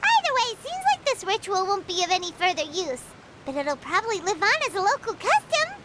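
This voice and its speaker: A woman voicing a tiny, childlike fairy companion in a video game, with a squeaky voice and a chirpy, inquisitive, blunt manner. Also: big pitch swings, brisk, very noisy. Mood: curious, puzzled. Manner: refers to self in third person, exclaiming, inquisitive. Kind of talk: video game character dialogue. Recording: game dialogue over loud music.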